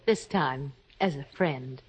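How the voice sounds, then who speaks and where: A woman's voice: extremely low pitch, slow pace, slightly rough, exaggerated voice. A woman playing a friendly, polished married woman in 1950s radio acting. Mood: warm recollection. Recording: slightly dull vintage radio recording.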